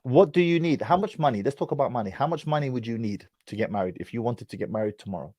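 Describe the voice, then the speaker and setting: A man's voice: medium pitch, animated, quick pace, mellow, dry recording. A man hosts a live call-in stream, his voice close and dry in the mic. He talks in a direct, brotherly, slangy way. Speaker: host. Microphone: online call.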